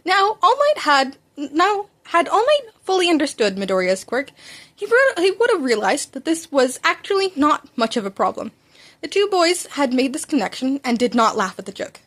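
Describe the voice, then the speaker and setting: A woman with a high voice reads fan fiction aloud. She narrates as an amateur audiobook reader, telling the story between lines of dialogue. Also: sing-song, moderate pace, a bit bright.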